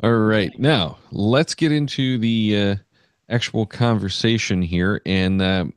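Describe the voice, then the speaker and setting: Chatty male podcast host, low voice. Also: takes it slowly, clear, resonant tone, no room sound, clean studio sound. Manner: chatty, many fillers and restarts. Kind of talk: casual podcast chat.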